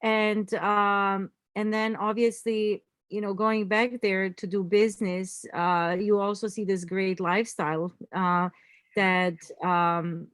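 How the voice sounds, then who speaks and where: Halting woman, dry sound. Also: mid-range voice, flat delivery, clean tone, dry recording. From Croatia. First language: Croatian. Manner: halting, many fillers and restarts, laughs easily. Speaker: interview guest, entrepreneur. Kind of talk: casual interview chat.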